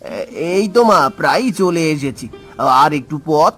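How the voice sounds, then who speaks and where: A man voicing a poor village farmer and father in a folk-tale cartoon, gentle and humble. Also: fairly high pitch, lively intonation, unhurried, slightly rough, faint music behind. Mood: affectionate. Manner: affectionate, humble.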